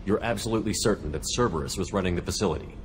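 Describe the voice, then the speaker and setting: An actor playing a steady, commanding squad leader in a video game, with a firm, measured, probing manner. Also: male voice, low voice, close to an everyday voice. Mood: firm, questioning. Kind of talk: video game dialogue acting.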